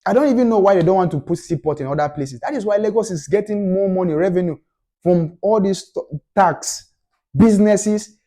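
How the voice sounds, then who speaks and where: A man's voice: sits high, brisk, dark tone. Impassioned Nigerian man ranting. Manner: passionate, emphatic, repeats points for stress. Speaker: commentator. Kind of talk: opinion rant to camera.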